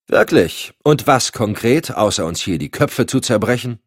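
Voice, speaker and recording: A man's voice: medium pitch, animated, moderate pace, dry recording, studio quality, voice pushed up. A man voicing an indignant security official in an audiobook, blustering and sneering. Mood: scornful. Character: indignant, scornful male homeland security official. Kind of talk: audiobook dialogue.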